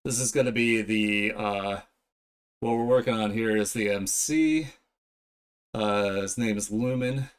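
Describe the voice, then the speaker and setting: A man's voice: on the low side, unhurried, clean tone. A man chatting casually on a livestream as he draws, with blunt, slangy, profane talk. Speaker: streamer, digital artist. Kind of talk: casual livestream chat while drawing.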